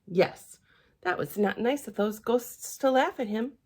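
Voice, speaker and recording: Female children's storyteller: slightly high, hugely varied pitch, expressive read-aloud with chatty asides. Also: unhurried. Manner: theatrical and expressive, with gasps and hushed asides.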